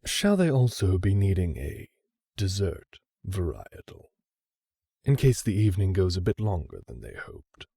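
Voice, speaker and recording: A man performs a deferential, polished arms sommelier in an assassin audio roleplay. His voice is husky and mellow, captured close and dry. He phrases everything with formal, measured courtesy. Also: low voice, very animated, dry recording, full character voice. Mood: discreet, suggestive. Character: courteous, refined male weapons sommelier serving assassins. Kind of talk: roleplay audio drama acting.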